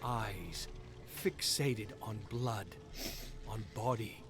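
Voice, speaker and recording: A man's voice: on the low side, drawn-out words, over loud music. A man narrating a horror game story in a grim, scripted style.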